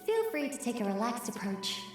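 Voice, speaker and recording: Casting: calm magician stage director, fairly high voice, anime game, drenched in long reverb. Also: female voice, fairly quick. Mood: calm, instructive. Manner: calm, polite, instructive. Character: composed female magician directing a staged retelling. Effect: magical communication echo. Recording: long echoing reverb, music behind.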